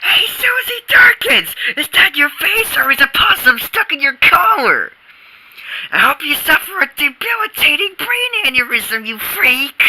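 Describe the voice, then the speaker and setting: A performer voicing a hyperactive, scheming six-year-old boy who swings from shouting to mock-heroic narration. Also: mid-range voice, very animated, a little slow, a little hiss or hum, voice pushed up, heavily acted, rough recording. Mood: hyper shouting. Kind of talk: comic strip read aloud with voices.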